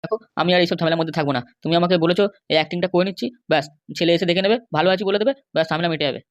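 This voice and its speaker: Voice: medium pitch, rapid-fire, no room sound, lo-fi sound, lightly acted. A performer voicing a compliant but grumbling, worried Bengali husband and father in a comedy cartoon, with a placating, hesitant manner. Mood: exasperated. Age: middle-aged. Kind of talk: animated comedy cartoon dialogue.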